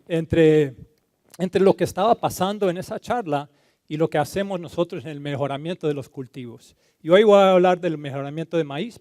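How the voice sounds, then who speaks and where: A man from Colombia giving a conference talk, halting and repeating words, slipping into terms now and then. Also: fairly high pitch, darkish tone, no room sound, studio quality. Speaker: conference speaker. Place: conference hall stage.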